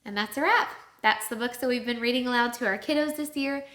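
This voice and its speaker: A woman's voice: a little high, quick pace, a bit bright, echoey room. A woman co-hosting a vlog, talking in a casual, chatty way. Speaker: vlog co-host. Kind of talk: casual chat.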